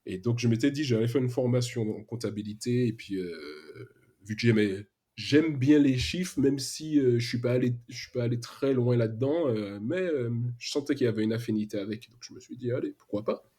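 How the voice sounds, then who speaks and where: Homme à l'accent antillais, voix voilée. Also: male voice, mid-range voice, brisk, slightly rough. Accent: Antillean French. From Martinique. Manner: relaxed, frequent hesitations, casual slang. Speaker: podcast guest, freelance web developer. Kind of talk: casual storytelling interview.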